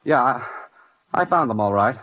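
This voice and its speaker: A man's voice: medium pitch, animated, moderate pace, close to an everyday voice. An actor playing a hesitant, guilt-stricken young clerk in an old radio drama, telling his own story. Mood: shaken. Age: young adult. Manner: hesitant, brooding. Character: dazed, guilt-stricken young law office clerk accused of murder. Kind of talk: 1940s radio acting, first-person narration. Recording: muffled old radio recording, music behind.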